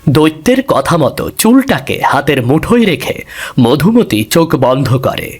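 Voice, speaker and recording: Husky male fairy-tale narrator. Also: a little high, sing-song, normal speed.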